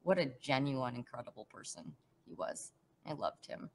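A middle-aged woman, an actress, answering fan questions in a chatty, animated way over a headset on a video call from home. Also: rumbling low, moderate pace, a little hiss or hum. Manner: chatty, warm, animated, laughs often. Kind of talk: casual virtual panel Q&A.

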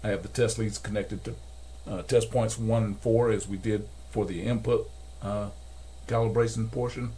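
A man's voice: lowish voice, fairly even intonation, moderate pace, few pauses, warm tone. An older man narrating a hands-on technical tutorial in a methodical, step-by-step way, as a technician at his workbench. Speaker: repair technician demonstrating calibration. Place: cluttered indoor electronics workshop. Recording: noisy workshop room recording.

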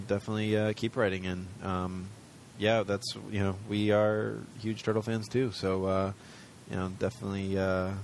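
Gravelly, filler-heavy male podcast host. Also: low-pitched, slight background noise. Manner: rambling, many fillers. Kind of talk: casual chat.

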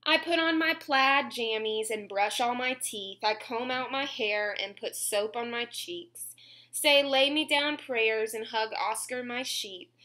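A woman in her twenties reading a picture book aloud at home, expressively and clearly phrased for young children. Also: a little high, unhurried, bright, forceful tone. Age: 20s. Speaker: children's story reader. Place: small room at home, indoors.

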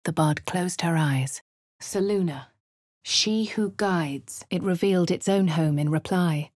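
A woman with a posh English accent speaking a game hero's lines and first-person narration in a measured way. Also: on the low side, a little mellow, no echo, clean studio sound. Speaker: player character voice. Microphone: studio mic.

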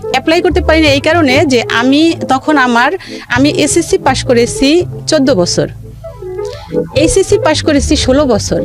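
A woman's voice: high voice, animated, moderate pace. A woman with a Bangladeshi accent telling her life story in a radio interview, emphatically repeating phrases for stress. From rural Bangladesh. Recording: music under the voice.

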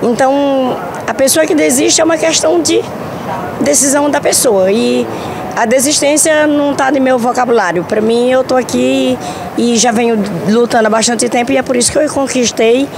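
A woman's voice: high-pitched, moderate pace. A middle-aged woman answering an interview at an outdoor market. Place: open-air market. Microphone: handheld reporter microphone. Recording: clear busy market street noise.

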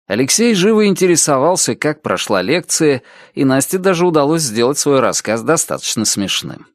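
Guy reading an audiobook, lively pitch, dry close sound. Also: normal speed, dry recording. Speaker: audiobook narrator. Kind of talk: scripted narration.

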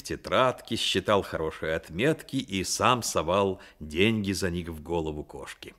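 A man playing the grave, attentive storyteller in a radio drama, narrating in an expressive, deliberate way. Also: low voice.

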